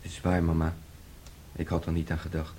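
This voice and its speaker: An actor playing a naive, eager, childlike son who speaks simply and trustingly in a radio play. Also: male voice, deep voice, monotone, a little fast, warm tone, slightly rough.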